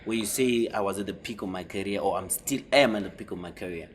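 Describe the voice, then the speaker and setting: Male talk-show host, low-pitched, halting and repetitive, faint background noise. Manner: hesitant, repeats words, teasing. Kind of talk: interview questions.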